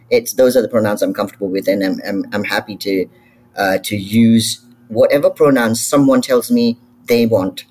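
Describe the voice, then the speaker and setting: A man talks in a lowish voice, hesitating often and restarting his sentences as he searches for words. His delivery stays loose and unscripted. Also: darkish tone, breathy edge. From Malaysia. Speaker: panel speaker. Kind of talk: casual spoken answer on a panel.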